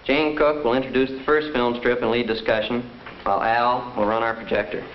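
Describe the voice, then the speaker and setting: An earnest schoolboy played by a young man recites a class report in a 1950s educational film. His mid-pitched voice echoes in the room, and the sound is muffled with faint noise. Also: little pitch movement, fairly quick, close to an everyday voice.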